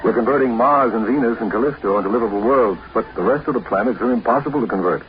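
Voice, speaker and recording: An actor playing an earnest, courteous Earth official who explains things formally in a 1950s radio drama. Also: male voice, mid-range voice, fast, faint music behind, natural voice. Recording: muffled, noisy 1950s radio recording.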